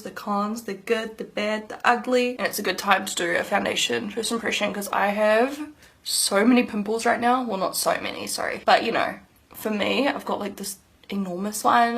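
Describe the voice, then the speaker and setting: A woman's voice: mid-range voice, gravelly, a bit bright. A woman in her thirties, a beauty vlogger chatting casually to camera in an upbeat, rambling way, slightly nasal from hay fever. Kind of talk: casual makeup review to camera.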